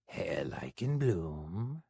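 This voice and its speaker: A man voicing a menacing young male stalker, speaking low, slow and threatening. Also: expressive, vocal fry, a bit bright, husky, no echo, studio quality, exaggerated voice. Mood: sweetly sinister.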